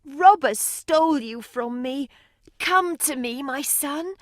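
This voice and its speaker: A woman's voice: high-pitched, slow, no room sound, studio quality. A woman playing a poor, weary, loving beggar mother in a storybook tale, emotional and pleading. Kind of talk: dramatised storybook reading.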